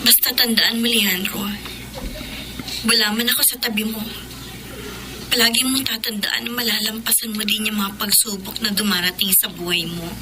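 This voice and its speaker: An actress playing a tender, sorrowful Filipino woman saying farewell in radio drama style, softly and breathily. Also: mid-range voice, steady tone, moderate pace, noisy background, lightly acted. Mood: tender, resigned.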